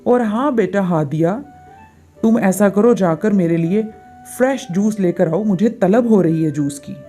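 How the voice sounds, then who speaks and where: A bossy older auntie in a family storybook tale, acted by a woman. She orders and finds fault in a soft-edged, mid-pitched voice, with music underneath. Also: unhurried, close to an everyday voice. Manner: commanding, complaining. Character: bossy, demanding, fault-finding older visiting aunt.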